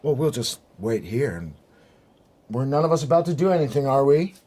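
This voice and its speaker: An actor playing a calculating, polite negotiator in a radio drama, measured and dryly ironic. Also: male voice, mid-range voice, very animated, dark tone. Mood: wry calm. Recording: faintly noisy radio drama recording.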